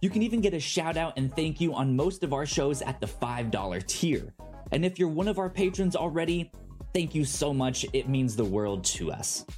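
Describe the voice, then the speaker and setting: A man's voice: fairly high pitch, lively intonation, normal speed, a little mellow, soft background music. Stammering man hosting a podcast. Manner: stammers, repeating sounds and words. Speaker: podcast host. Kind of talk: casual podcast chat.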